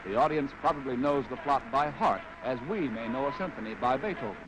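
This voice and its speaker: Animated American man, vintage newsreel-style narrator. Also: medium pitch, brisk, noisy background. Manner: wry, arch, theatrical phrasing. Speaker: narrator of a military training film. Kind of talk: scripted 1940s documentary narration. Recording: vintage 1940s film soundtrack.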